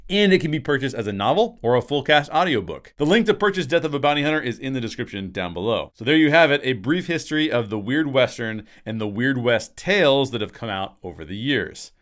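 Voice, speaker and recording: A man in his thirties, a writer hosting his channel, giving a scripted explainer in an energetic way, talking into a desk microphone in a small home studio. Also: medium pitch, a little fast, few pauses. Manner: energetic, gestures a lot with both hands. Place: small home studio room with framed posters. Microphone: desk microphone on a stand in front of him.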